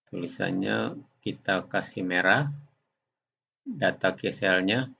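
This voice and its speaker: A man gives an unscripted software demonstration, explaining step by step in a halting way. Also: low-pitched, creaky, breathy edge, highs cut off. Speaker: software tutorial presenter. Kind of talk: unscripted screen demonstration narration.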